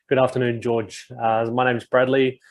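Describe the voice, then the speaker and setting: A man introducing himself and his work on an online panel, relaxed and with a light joke. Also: medium pitch, a little slow. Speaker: panelist, regional executive. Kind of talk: video-call introduction. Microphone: video call.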